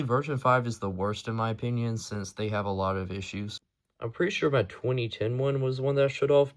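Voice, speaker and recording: Low-pitched man, casual show-and-tell. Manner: matter-of-fact, informal, unscripted. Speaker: hobbyist collector presenting a video.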